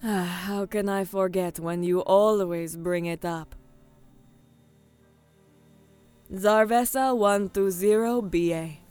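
A woman playing a composed, formal female alien military commander in an audio drama, speaking in terse, measured phrases. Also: mid-range voice, darkish tone, clean tone, no room sound, faint music behind, lightly acted. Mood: dry, resolute.

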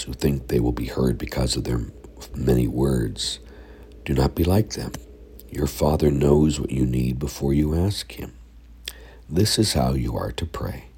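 A man leading scripture readings and a short homily as a priest, sounding stuffy from a sinus infection. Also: a little mellow. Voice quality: gravelly, breathy low voice.

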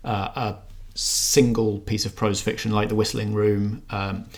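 A man's voice: lowish voice, flat delivery, a little slow. A man co-hosting an arts podcast, explaining things in casual chat with dry humour. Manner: explanatory, dryly humorous. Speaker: arts podcast co-host and film reviewer.

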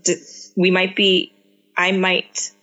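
A woman's voice: lowish voice, monotone, slow pace, bright, forceful tone, highs cut off. A woman answering interview questions about raising her young child, speaking earnestly and reflectively with frequent restarts, her first language Cantonese. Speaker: parent guest.

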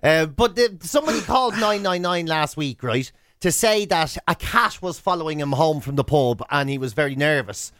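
Man, Irish accent, high voice, chatty co-host, rambling colloquial storytelling, dry studio. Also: animated, normal speed, hardly any pauses, fairly bright tone, dry recording. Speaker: radio show and podcast co-host. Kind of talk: casual comic banter and storytelling. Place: close-miked dry studio.

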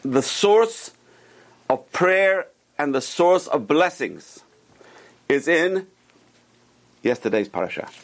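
A man leads a small class around a table, explaining rules slowly and repeating the key points so they sink in. His speaking voice sits a bit higher than most men's. He keeps checking in with his students by asking them questions. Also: sing-song. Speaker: Torah teacher. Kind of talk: informal class lecture with group discussion.

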